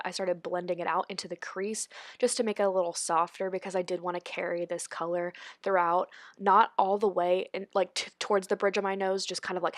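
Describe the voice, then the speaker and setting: A woman's voice: lowish voice, dry recording. Congested young woman chatting through a tutorial. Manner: chatty, lots of "like" and "honestly". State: congested from a cold. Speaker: beauty vlogger. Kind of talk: casual voiceover tutorial. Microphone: voice-over recorded separately.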